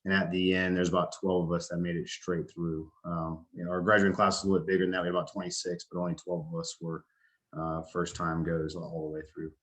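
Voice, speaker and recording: A man, giving a casual talk as a veteran, halting with many fillers and restarts. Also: low voice, little pitch movement, a bit bright. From United States. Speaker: veteran speaker.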